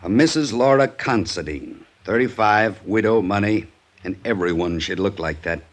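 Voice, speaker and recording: An American actor playing a brisk, wry, plain-spoken police detective in a 1950s radio crime drama. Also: male voice, lowish voice, lively intonation, natural voice. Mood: wry, sure. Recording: noisy old radio recording.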